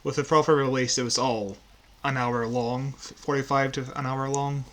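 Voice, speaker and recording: A man's voice: mid-range voice, steady tone, normal speed, a bit bright, a little hiss or hum. A man chatting casually as a podcast co-host, often chuckling as he talks. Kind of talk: casual chat reviewing audio dramas.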